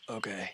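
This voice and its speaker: A man playing an injured, weary villain in a roleplay audio drama, guarded and quietly considerate, speaking in halting, hesitant lines. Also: slight background noise, full character voice. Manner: hesitant, halting, low-key, grudging. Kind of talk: roleplay audio drama, intimate one-sided dialogue.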